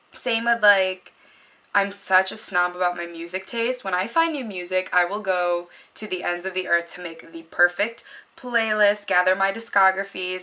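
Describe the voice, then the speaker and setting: A young woman chatting casually to the camera in a home vlog, rambling with lots of likes and ums in a low voice. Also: pushed and bright. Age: young adult. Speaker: beauty vlogger.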